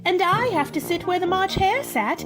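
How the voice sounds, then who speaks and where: A curious young girl acted by a woman in a radio play. She is high-pitched and animated, politely questioning but quick to sound indignant, with some music behind her.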